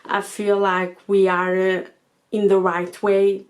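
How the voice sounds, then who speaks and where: Slow, soft-spoken Portuguese woman. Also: medium pitch, little pitch movement. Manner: thoughtful, hesitant, with frequent fillers. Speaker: channel host. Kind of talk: reflective monologue to camera.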